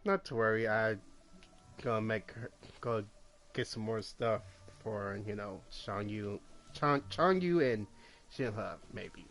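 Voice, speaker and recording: A man casually commenting over his gameplay, talking in a halting way with many fillers and restarts. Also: fairly low pitch, a bit bright. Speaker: gameplay streamer.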